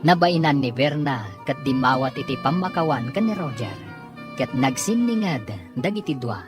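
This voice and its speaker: Low-voiced woman narrating over music. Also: expressive, normal speed. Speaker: announcer and narrator. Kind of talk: scripted radio drama narration.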